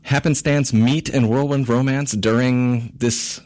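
A man's voice: medium pitch, fairly even intonation, measured pace, darkish tone. A man talking as an actor guest on a radio interview, friendly and laughing often, with frequent restarts.

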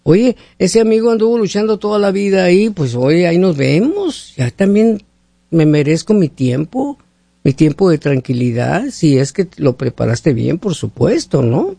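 A man's voice: sits high, very animated, normal speed, gravelly, warm tone, no echo. A man hosting a radio call-in show, chatting casually in a folksy, talkative way and often asking rhetorical questions. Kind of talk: casual opinionated on-air chat with callers.

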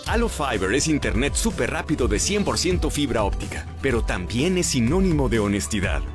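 A man's voice: medium pitch, big pitch swings, a little fast, over music. A man reading an internet-service commercial with a confident, polished delivery. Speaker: ad announcer. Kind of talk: scripted ad read.

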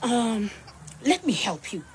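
A woman voicing a gentle, caring peacock. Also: a little high, sing-song, slow pace, a little hiss or hum. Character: kind gentle male peacock, wise and caring.

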